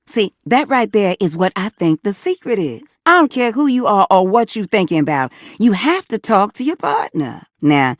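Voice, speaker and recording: A sassy, folksy American lady from a video game, a household fixture come to life. Her pitch swings widely as she coaxes and teases in colloquial talk. She is warm-hearted, and the recording is close and dry. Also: dry recording. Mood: folksy, candid, then sassy, teasing. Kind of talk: video game character dialogue.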